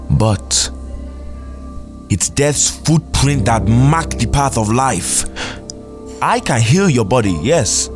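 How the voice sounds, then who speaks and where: A man playing a smug, stern doctor in an audio drama, wry and condescending. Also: fairly low pitch. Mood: stern scorn. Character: smug, stern futuristic male doctor lecturing his patient. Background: music.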